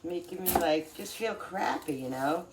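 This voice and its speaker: A woman's voice: on the low side, animated, moderate pace, vocal fry, very noisy. A middle-aged woman with a cold, chatting casually and rambling with many fillers while she cooks in her kitchen. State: congested with a cold. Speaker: cooking vlogger. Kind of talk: casual chat while cooking. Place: home kitchen.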